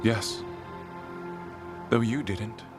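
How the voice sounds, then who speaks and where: An actor playing a devout, proud elven zealot in a game cutscene, speaking in a formal, grave and fervent manner. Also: male voice, fairly low pitch, steady tone, extremely slow. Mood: cool, reserved. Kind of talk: dramatic video game cutscene acting. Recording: loud background music.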